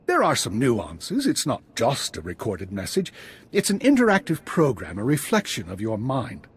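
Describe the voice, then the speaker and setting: A man playing an eccentric, visionary Jedi master in a video game, guiding a student with expressive, theatrical delivery in a husky, rough-edged voice. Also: medium pitch, normal speed, heavily acted. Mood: instructive, enthusiastic. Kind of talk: scripted video game dialogue.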